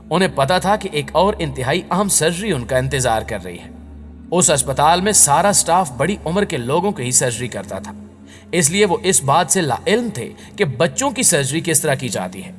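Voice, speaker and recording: A man reading a scripted dramatic story as a voice-over narrator. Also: on the high side. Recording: long reverb on the voice. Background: background music.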